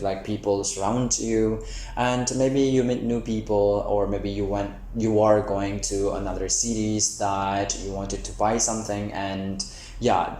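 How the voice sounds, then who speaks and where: A man's voice: fairly low pitch, darkish tone. A young man with an Indonesian accent, talking informally to viewers as he explains things in a vlog. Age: young adult. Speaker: vlogger, PhD student. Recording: echoey room, faint background noise.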